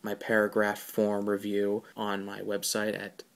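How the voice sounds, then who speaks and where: A man hosting a podcast, giving a casual, informal solo film review in a halting way. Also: lowish voice, monotone, creaky.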